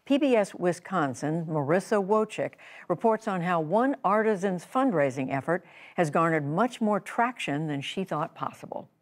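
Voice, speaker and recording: An older woman, a news anchor, introducing a report in a measured, formal studio read. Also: on the low side, expressive.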